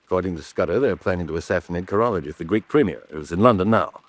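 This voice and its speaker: Casting: determined, polite gentleman fugitive for radio drama; lowish pitch, swooping intonation, clipped delivery. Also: lively intonation, fast talker, no echo. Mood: grave, determined. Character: determined, quick-witted gentleman on the run. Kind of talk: old-fashioned radio drama acting.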